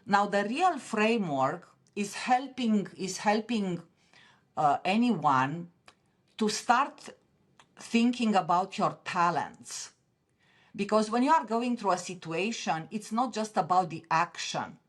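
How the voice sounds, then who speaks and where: A woman's voice: mid-range voice, animated, slow. A woman with a Romanian accent, a podcast guest speaking earnestly and passionately, often restarting her sentences. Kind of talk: interview conversation.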